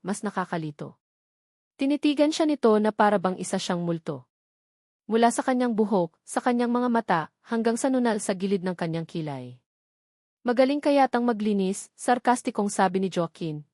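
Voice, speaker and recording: A woman storyteller reads a dramatic love story in a measured, unhurried way. Her voice sits at a middle pitch, and the recording is dry and close with no room sound. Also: a little mellow, no room sound. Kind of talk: scripted dramatic narration.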